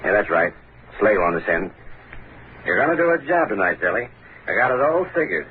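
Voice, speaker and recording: An actor playing a hard-boiled, scheming gangster boss in an old radio drama, with tough, slangy delivery. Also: male voice, on the low side, very animated, a little fast. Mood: menacing, scheming. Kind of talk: 1930s-40s radio drama acting. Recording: noisy, phone-like old radio broadcast.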